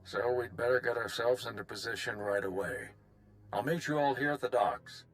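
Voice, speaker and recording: A man voicing a brisk, practical fleet organizer in a video game. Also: medium pitch, close to an everyday voice. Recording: background music.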